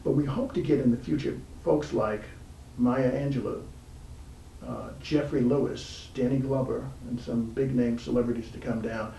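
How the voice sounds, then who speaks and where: A man hosting an informal interview show, speaking haltingly with frequent pauses mid-sentence. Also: medium pitch, steady tone, vocal fry, mellow. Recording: roomy, distant mic, faint background noise.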